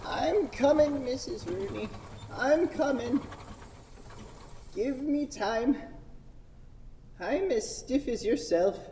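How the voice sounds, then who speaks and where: A woman playing a stiff, grumbling old gentleman motorist in a radio play, with a dry, long-suffering manner. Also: sits high, animated, slow pace, long pauses, heavily acted. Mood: weary, obliging. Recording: reverberant hall, faint noise.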